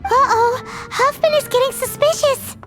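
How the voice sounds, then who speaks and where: A woman voicing a tiny, excitable, childlike fairy companion in a video game, squeaky and exclamatory. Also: normal speed, over music. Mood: panicked. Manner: squeaky, exclamatory, refers to self in third person.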